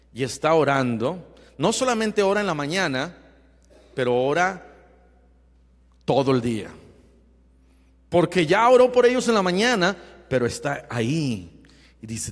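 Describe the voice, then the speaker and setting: A male pastor preaching earnestly, with emphatic rising bursts and quiet asides. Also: on the high side. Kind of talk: sermon. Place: church sanctuary. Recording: long hall reverb.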